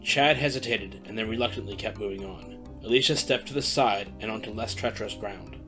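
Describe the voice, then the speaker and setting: A man narrating a fantasy audio drama in a steady, descriptive storytelling manner. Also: fairly low pitch, fairly quick, music in the background. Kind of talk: scripted narration.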